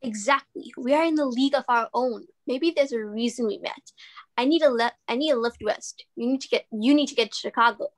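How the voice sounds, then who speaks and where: A fairly high female voice as an upbeat stranger acted by a woman in a practice read. She coaxes and encourages in an everyday, slightly halting way, as in a light TV comedy. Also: natural voice. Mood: coaxing, upbeat. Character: upbeat, coaxing woman stranger cheering up a traveler. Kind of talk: comedy scene practice reading.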